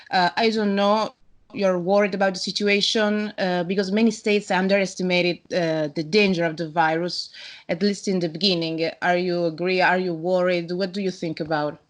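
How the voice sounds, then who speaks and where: A woman, asking casual interview questions in hesitant, English. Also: fairly low pitch. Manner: hesitant non-native English phrasing.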